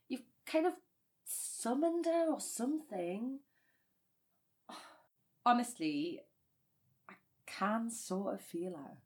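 A woman's voice: high-pitched, bright, forceful tone, heavily acted. A woman acting the part of a playful, tender sister in a naturalistic audio drama, teasing and earnest. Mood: excited, pleading.

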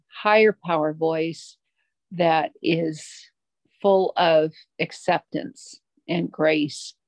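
A middle-aged woman sharing her recovery story at a twelve-step meeting, halting and reflective, with many pauses and restarts. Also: fairly low pitch, a little mellow, no echo. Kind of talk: personal recovery share.

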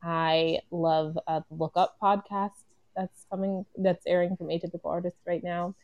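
A woman's voice: lowish voice, little pitch movement, moderate pace, a little mellow, no echo, lo-fi sound. A woman panelist chatting casually in a wry, self-deprecating way. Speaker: actor and podcaster, panelist.

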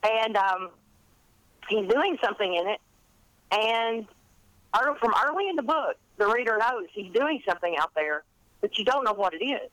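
A woman in her fifties telling stories in a chatty, animated, rambling and self-deprecating way, as an interview guest. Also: on the high side, moderate pace, pauses often, no echo, poor audio. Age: 50s. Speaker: guest author and college English teacher.

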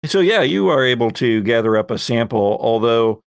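A man's voice: medium pitch, expressive, normal speed, vocal fry, clear, resonant tone, no room sound, clean studio sound. A man acting as host and game master, narrating a tabletop horror game podcast.

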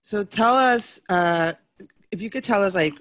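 A woman's voice: medium pitch, measured pace, a bit bright. Enthusiastic woman podcast host, slangy. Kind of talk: casual podcast interview.

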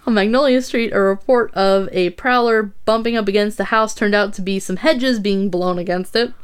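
A woman's voice: medium pitch, normal speed, clean tone. Casual young woman reading notes. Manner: swears casually, reads notes aloud with asides. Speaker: podcast host telling a true crime story.

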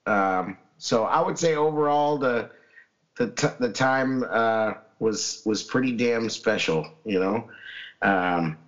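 A man with a hoarse, scratchy voice after losing it, co-hosting a casual chat show and rambling with many restarts. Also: on the low side, slow pace, lo-fi sound. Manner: rambling, lots of fillers and restarts. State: hoarse, recovering lost voice. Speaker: podcast co-host.

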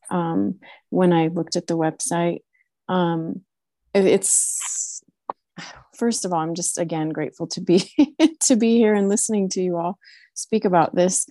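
A woman introducing her interests to a group meeting, halting often with fillers and restarts. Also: fairly low pitch, dark tone, no echo. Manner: hesitant, many fillers and restarts. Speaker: meeting participant. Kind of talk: casual video-meeting introduction.

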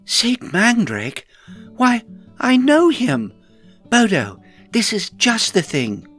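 A man's voice: a little high, big pitch swings, normal speed, fairly bright tone, slightly rough, soft background music, voice pushed up, exaggerated voice. A man voicing a spirited female hobbit adventurer with a breezy, coaxing manner in a storybook reading. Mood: excited.

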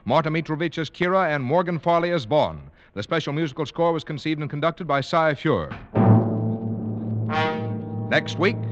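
Male vintage radio announcer, higher register, scripted sign-off style, faint music underneath. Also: animated, moderate pace, long pauses, highs cut off.

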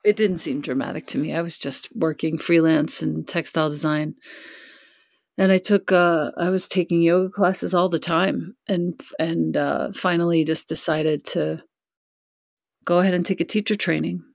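Soft-spoken middle-aged woman from new york, low gravelly voice, headset mic. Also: no echo, clean studio sound. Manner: soft, calm and unhurried. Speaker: guest, yoga teacher. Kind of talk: casual conversation.

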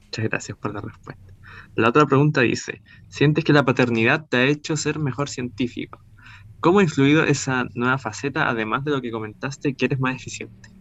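A man's voice: medium pitch, fairly quick, fairly bright tone. A man hosting a podcast interview and reading out questions to his guest. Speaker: podcast host. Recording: dull, lo-fi sound.

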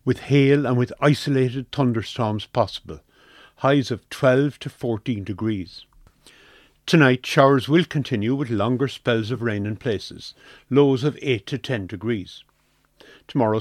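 A man's voice: mid-range voice, darkish tone, dry recording, clean studio sound. A man with an Irish accent reading a scripted weather forecast on community radio.

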